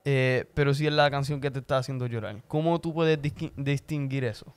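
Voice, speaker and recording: A man who is a native English speaker, talking casually about faith as a podcast co-host, often pausing to find words and slipping into English. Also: medium pitch, clear, resonant tone. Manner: halting, searches for words, slips into English. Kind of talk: casual religious discussion.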